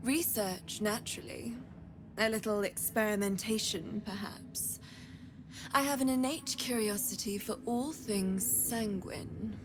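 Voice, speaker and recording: Woman as an unhurried, haughty female blood trader. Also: medium pitch, background noise, natural voice. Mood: silky, intrigued. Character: eerie, imperious female blood trader with morbid curiosity. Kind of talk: dramatic video game acting.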